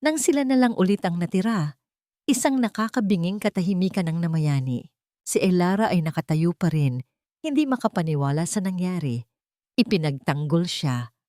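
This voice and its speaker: A woman's voice: lowish voice, animated, normal speed, dark tone, no echo, studio quality. A woman narrating a scripted romance story as a dramatic storyteller.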